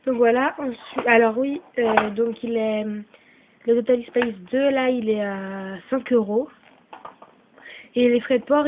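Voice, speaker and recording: High-voiced woman with a cold. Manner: rambling, full of "euh" and "voilà", apologetic. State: has a cold, coughing. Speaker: channel host selling her used items. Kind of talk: casual chatty sales video. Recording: tinny lo-fi phone-like recording with faint noise.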